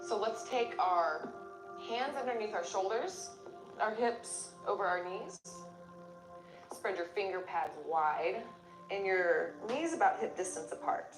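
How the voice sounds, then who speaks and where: A woman yoga instructor leading a class, giving calm step-by-step cues with pauses between them. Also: mid-range voice. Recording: roomy echo, music behind. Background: music.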